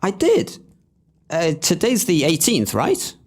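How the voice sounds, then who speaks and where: A man's voice: fairly high pitch, huge pitch swings, takes it slowly, slightly rough, exaggerated voice. Man playing bumbling, drawling young pantomime prince. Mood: indignant, commanding. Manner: hesitant, stammering. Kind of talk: audio pantomime acting.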